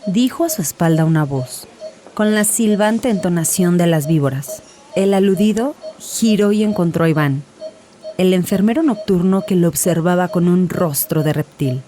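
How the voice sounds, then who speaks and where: A woman's voice: lowish voice, normal speed, warm tone. A woman giving a dramatic scripted narration as an audiobook narrator. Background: music.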